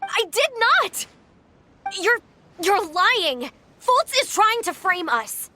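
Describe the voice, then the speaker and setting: A woman's voice: extremely high pitch, sing-song, slow, bright, forceful tone, heavily acted. An actress playing a defensive young girl in a video game, protesting and throwing accusations back. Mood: indignant denial.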